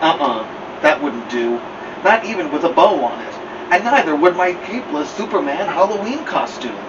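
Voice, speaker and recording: A man reading a children's picture book aloud as a storytime host, expressively, with drawn-out emphasis. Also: on the high side, big pitch swings. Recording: muffled, clear background noise, slightly distant.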